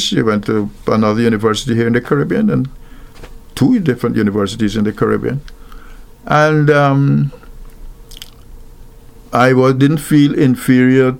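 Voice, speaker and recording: An older man from Guyana speaks in a slow, reflective way about marriage and equality. His voice has a gravelly, creaky edge, and he often stops, restarts, and fills gaps with soft hesitations. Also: medium pitch. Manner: thoughtful, halting, many fillers and restarts.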